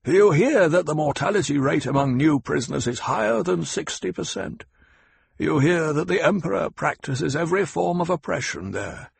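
A man's voice: on the high side, big pitch swings, normal speed, gravelly, husky, dry recording, pitched above the performer's own voice, exaggerated voice. A man voicing an old, shrewd male Mentat advisor who speaks sharply and scornfully. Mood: cold, cutting. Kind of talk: audiobook character voice.

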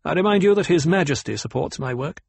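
Man acting a stiff, high-pitched, gravelly planetologist. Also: lively intonation, fast, no room sound, full character voice. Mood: stiff, formal. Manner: stiff, curt, formal, emphatic. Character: proud, stiff, guarded male planetologist of a desert world. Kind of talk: audiobook character acting.

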